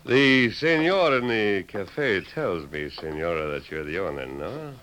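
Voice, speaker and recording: A sly, courtly Mexican gunslinger in a 1950s radio western. His low voice swoops expressively through flowery, flattering phrases in a Mexican accent. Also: a little slow, a little hiss or hum. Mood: polite. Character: masked hero posing as smooth, flattering Mexican gunslinger.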